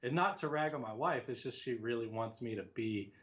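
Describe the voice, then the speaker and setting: A man's voice: mid-range voice, brisk, a bit bright, dull audio. A man speaking casually as an interview guest, reflective and self-deprecating, often acting out small quoted asides. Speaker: podcast guest, writer.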